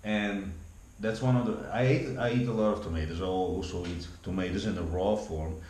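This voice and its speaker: A man's voice: sits low, normal speed, darkish tone. A man in his forties with a Dutch accent, rambling through a casual vlog monologue with many restarts and repeated words. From Netherlands. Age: 40s. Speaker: vlogger. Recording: echoey room with faint background hum.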